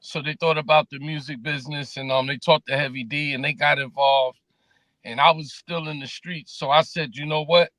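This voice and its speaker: New guy, laid-back street talk, kinda high voice, dry close sound. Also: fairly even intonation, normal speed, sharp tone, dry recording, low-quality recording. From New York City. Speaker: interview guest, music promoter. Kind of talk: casual interview chat.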